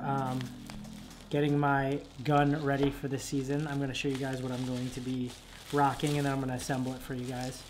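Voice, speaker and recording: A young man in his twenties chatting casually in a vlog, laid-back and rambling, with many fillers, filmed at a desk at home. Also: mid-range voice. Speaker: vlogger. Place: dim room at home, indoors. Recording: music playing behind.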